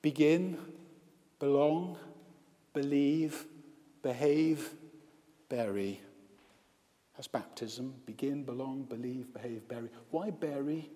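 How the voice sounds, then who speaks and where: A male priest and hospital chaplain preaching a baptism sermon, slow and emphatic, with repeated lists. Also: a little high, dark tone. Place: church with long reverb. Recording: reverberant church hall.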